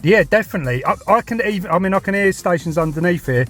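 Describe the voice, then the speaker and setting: Southern English male CB operator, fairly high voice, matey casual chat, in a vehicle, music behind. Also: animated, fast talker, fairly bright tone. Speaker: CB radio operator filming a vlog. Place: inside a parked vehicle. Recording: music and radio sounds inside a vehicle.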